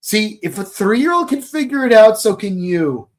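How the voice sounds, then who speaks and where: A man co-hosting a podcast, chatting casually and joking as he rambles and thinks out loud. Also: sits high, animated, moderate pace. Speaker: podcast co-host. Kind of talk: casual chat about a TV series.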